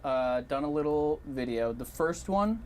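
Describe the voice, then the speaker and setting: A man's voice: fairly high pitch, animated, unhurried, darkish tone. A man co-hosting a video, speaking off the cuff in casual back-and-forth banter. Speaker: video co-host. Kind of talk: casual unscripted banter with a co-host.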